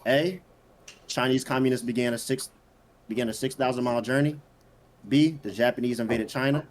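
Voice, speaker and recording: A man hosting a casual quiz with friends, reading out questions and answer options at an unhurried pace. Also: medium pitch, fairly even intonation. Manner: reads answer options slowly, teases players. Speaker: quiz host.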